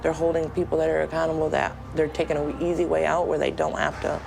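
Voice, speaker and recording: Gravelly-voiced woman in her forties. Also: deep voice, level tone, noisy background. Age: 40s. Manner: emotional, halting with ums. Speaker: jail inmate awaiting trial. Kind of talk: documentary interview.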